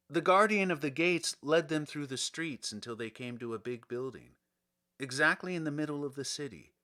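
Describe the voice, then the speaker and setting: A man's voice: a little high, bright, forceful tone. A man reading a children's storybook aloud as scripted audiobook narration. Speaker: audiobook reader.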